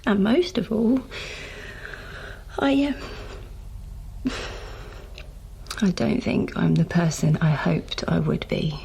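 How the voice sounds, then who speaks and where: A woman playing a frightened, self-blaming survivor of a Martian invasion in an audio drama, with a halting, breathy confessional delivery. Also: on the low side, animated, mellow, a little hiss or hum, heavily acted, poor audio. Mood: scared, self-hating.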